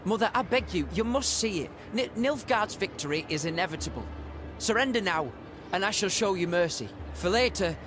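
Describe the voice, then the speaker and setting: Earnest, formal young prince. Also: male voice, sits high, animated, noisy background. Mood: pleading. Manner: formal, measured, pleading. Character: earnest young prince pleading with his mother. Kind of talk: dramatic video game voice acting.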